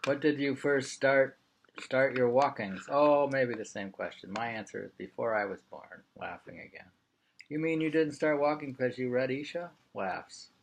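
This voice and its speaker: Man with creaky voice reading aloud. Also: mid-range voice, steady tone, moderate pace. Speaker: reader of a printed interview. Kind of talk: reading an interview aloud, informally.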